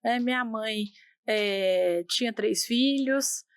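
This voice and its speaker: A woman's voice: fairly high pitch, slow pace, clean tone, no room sound, clean studio sound. A middle-aged woman with a Minas Gerais accent, a guest telling her story in an interview, earnest and often restarting her words. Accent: Minas Gerais Portuguese. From Minas Gerais, Brazil. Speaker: guest, healthcare executive. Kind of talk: reflective interview conversation.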